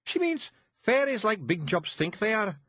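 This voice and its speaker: A man's voice: high-pitched, sing-song, normal speed, fairly bright tone, slightly rough, highs cut off, voice pushed up, heavily acted. A man voicing a clever little male fairy with a Scottish accent, eagerly chiming in.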